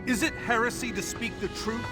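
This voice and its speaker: A man's voice: extremely high pitch, steady tone, normal speed. A man voicing a hopeful, grateful young townsman in video game dialogue, speaking earnestly and with a declamatory turn. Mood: defiant, rousing. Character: hopeful, grateful young townsman defying fate and rallying his people. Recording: background music under the voice.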